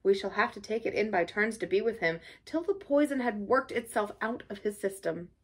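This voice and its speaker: A woman's voice: mid-range voice, fairly quick, husky, lightly acted. A woman voicing a grave, stern but kindly male badger in a storybook, with a formal, oratorical manner. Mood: weary, determined.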